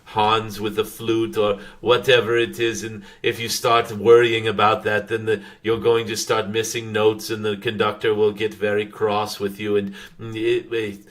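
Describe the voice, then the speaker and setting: A man channeling a wise, gentle dragon spirit, giving spiritual guidance in a halting, formal way with frequent humming pauses. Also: fairly low pitch, level tone, lightly acted. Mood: calm, instructive. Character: wise, gentle dragon spirit addressing followers as dear ones.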